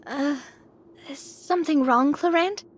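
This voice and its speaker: An actress playing a spirited, confident young woman adventurer in a video game, with an expressive, decisive manner. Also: sits high, a little slow, slight background noise. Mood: curious. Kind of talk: video game character acting. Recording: music under the voice.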